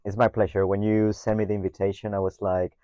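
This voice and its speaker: A man's voice: lowish voice, level tone, fast, dark tone, dry recording, lo-fi sound. A man in his thirties from Mexico with a Mexican accent, a guest talking reflectively, often hesitating, repeating words and ending thoughts with "no?". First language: Spanish. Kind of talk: reflective conversation.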